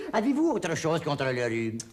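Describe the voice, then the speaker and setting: Bumbling, puzzled customer with a cold, played by a man; slow, lively pitch. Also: a little hiss or hum. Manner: slow, puzzled, comically muddled. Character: bumbling confused male pharmacy customer with a cold. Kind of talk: scripted comedy language sketch.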